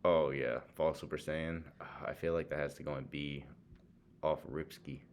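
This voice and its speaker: A man's voice: extremely low pitch, flat delivery, normal speed, slight background noise. A man chatting casually as a podcast co-host, speaking in loose slang with some swearing. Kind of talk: casual chat, ranking films.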